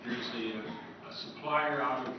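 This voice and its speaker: A man's voice: medium pitch, steady tone, moderate pace. Man lecturing in an echoey hall. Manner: rambling, listing items, friendly asides to the audience. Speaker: author giving a convention talk. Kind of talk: informal lecture to a live audience. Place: convention meeting room. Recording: distant echoey room mic, muffled with background hiss.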